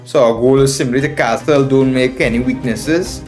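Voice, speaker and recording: A man's voice: mid-range voice, steady tone, moderate pace, darkish tone. A man with a Trinidadian accent giving live game commentary as an instructor, thinking aloud, repeating phrases and addressing viewers as friends. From Trinidad and Tobago. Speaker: chess streamer and instructor. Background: background music.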